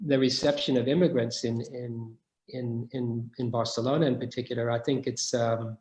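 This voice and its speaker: A man's voice: mid-range voice, level tone, moderate pace, darkish tone, roomy sound. A middle-aged man answering questions in an academic discussion, thoughtful and full of restarts. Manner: thoughtful, many restarts and hesitations. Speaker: guest speaker.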